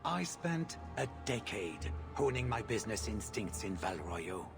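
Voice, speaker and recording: An actor playing a shrewd, smooth-talking mine owner in video game cutscene dialogue. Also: male voice, on the low side, big pitch swings, unhurried, music in the background, exaggerated voice. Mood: businesslike. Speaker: game character.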